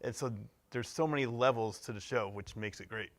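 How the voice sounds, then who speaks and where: A man's voice: medium pitch, brisk. A man speaking as a guest in a casual interview. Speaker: guest, cast member of an audio show.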